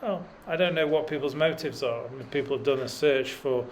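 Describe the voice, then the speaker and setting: A man's voice: medium pitch, brisk, slight background noise. A middle-aged man talking in a dry, self-deprecating way with many fillers while cycling up a mountain road. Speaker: cycling vlogger. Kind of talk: rambling self-deprecating commentary while riding.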